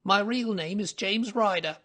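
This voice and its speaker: A man's voice: high-pitched, a little slow, sharp tone, pitched above the performer's own voice, heavily acted. A man voicing a cringing, nervous little man in a storybook, with a whining, quavering delivery. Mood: nervous, evasive. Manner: agitated, pleading. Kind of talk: audiobook character voice.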